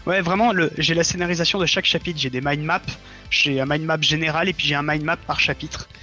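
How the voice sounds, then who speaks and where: Bright-voiced man, earnest, slightly muffled recording. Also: on the high side, steady tone, a little fast. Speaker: interview guest, audio fiction author. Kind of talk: casual conversation on a livestream. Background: background music.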